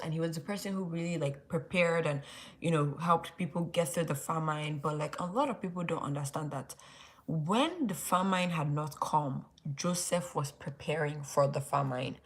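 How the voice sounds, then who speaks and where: Low-voiced Nigerian woman leading Bible study. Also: normal speed. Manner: rambling, restarts, heavy use of "like". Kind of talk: casual late-night livestream teaching.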